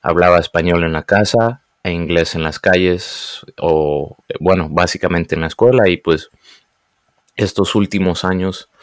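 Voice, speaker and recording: A young man raised in the United States, speaking casually and often pausing to search for words. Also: deep voice, dry recording, clean studio sound. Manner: hesitant, searches for words, mixes in English. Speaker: guest. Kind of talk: casual conversation.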